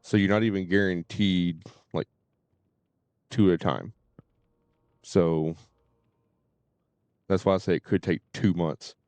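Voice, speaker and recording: A man giving casual live game commentary, thinking aloud in a halting way and often repeating his words. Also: deep voice, fairly even intonation, darkish tone, no room sound. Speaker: game livestreamer. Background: faint game music.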